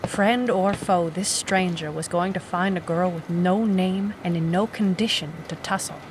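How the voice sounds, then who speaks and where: A woman's voice: lowish voice, moderate pace, noisy background, natural voice. An actress playing a hardboiled young woman private eye, wry and businesslike, in a noir audio drama. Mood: grim narration. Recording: music bed under the voice. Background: music.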